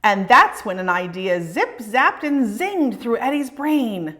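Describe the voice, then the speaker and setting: A woman reading a children's picture book aloud in an expressive, emphatic way meant for young children. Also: on the high side, slow. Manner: animated, emphatic storytelling aimed at young children. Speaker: read-aloud presenter for elementary students.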